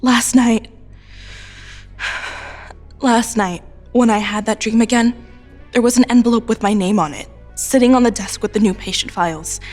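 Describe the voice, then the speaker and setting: A woman playing a troubled, anxious teenage high school girl, speaking hesitantly and earnestly in an audio drama. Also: unhurried, long pauses, a little mellow. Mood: distressed. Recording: music under the voice. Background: music.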